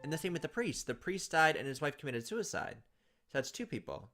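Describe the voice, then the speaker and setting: A man's voice: fairly high pitch, big pitch swings, brisk, fairly bright tone. A man reading game text aloud as a let's play host, adding informal, playful commentary. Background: game music.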